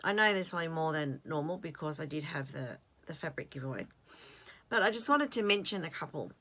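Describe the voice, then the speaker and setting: Australian woman in her late fifties, host, casual rambling chat, bright forward tone, pitched a little low. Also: expressive, brisk. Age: 50s. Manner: chatty, rambling, self-deprecating with fillers and restarts.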